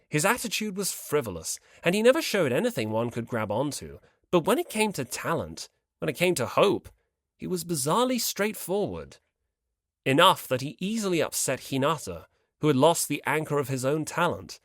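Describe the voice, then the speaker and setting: A man's voice: fairly high pitch, fairly bright tone, no echo, clean studio sound. A man reading scripted story narration expressively as an audiobook narrator.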